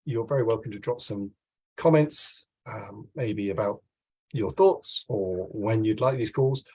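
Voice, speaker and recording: British male swimming coach, forties, very rough husky voice blocked up with a cold, lowish pitch, conversational video-call delivery. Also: unhurried, dark tone, low-quality recording. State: congested with a cold. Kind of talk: informal online coaching talk to camera. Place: small room at home, indoors. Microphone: video call, computer mic.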